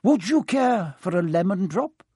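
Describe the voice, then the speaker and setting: A man voicing a gentle, calm elderly wizard headmaster who speaks in a slow, measured way. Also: high-pitched, breathy edge, no room sound, studio quality, full character voice. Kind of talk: audiobook character voice.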